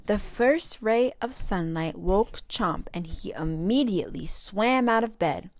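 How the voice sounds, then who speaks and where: A woman reading a children's storybook aloud as a storytime host, with expressive, careful phrasing. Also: mid-range voice, measured pace.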